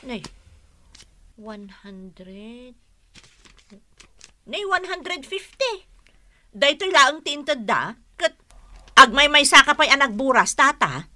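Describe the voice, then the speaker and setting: A woman's voice: sits high, very animated, slow pace, long pauses, sharp tone, no echo, exaggerated voice. A woman with an Ilocano accent playing a nosy, outspoken village neighbour in a radio comedy drama. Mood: nosy, scolding.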